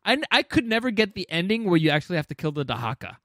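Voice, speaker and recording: A man's voice: sits high, sing-song, quick pace, a bit bright, no room sound, studio quality. A man co-hosting a podcast in casual, informal chat, with some swearing. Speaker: podcast co-host. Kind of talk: casual podcast chat ranking video game movies.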